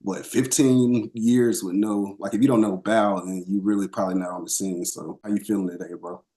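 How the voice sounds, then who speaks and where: A man hosting a podcast, chatting with an old friend in an easygoing, slow, drawn-out way. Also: lowish voice, steady tone, warm tone, rough recording. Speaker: podcast host. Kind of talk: casual interview chat.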